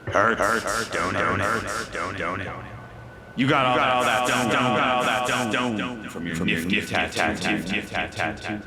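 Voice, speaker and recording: An actor playing a menacing, cruel and unhinged villain in an audio drama, with a halting, obsessive way of repeating himself. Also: male voice, fairly low pitch, steady tone, a loud crowd. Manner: halting, obsessive repetition of words. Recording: clear background noise.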